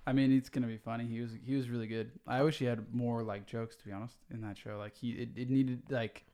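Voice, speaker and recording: Man, gravelly voice, laid-back podcast co-host, hedging talk. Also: mid-range voice, little pitch movement, quick pace, mellow. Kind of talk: casual chat.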